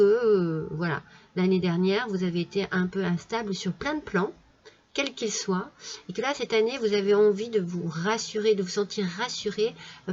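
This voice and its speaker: A French-accented woman reads tarot cards with a cold, in a gentle, soothing tone, stopping often on fillers and restarts. Her voice sits at an ordinary middle pitch. The sound is a bit dull and lo-fi. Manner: hesitant, many fillers and restarts. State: has a cold. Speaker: tarot card reader. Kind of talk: casual tarot reading monologue.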